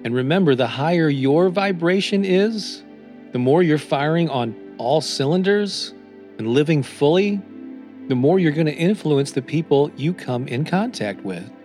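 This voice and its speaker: A man reading scripted motivational affirmations as a podcast host, in a deliberate, encouraging manner. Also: a little high, lively intonation, darkish tone. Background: new-age music.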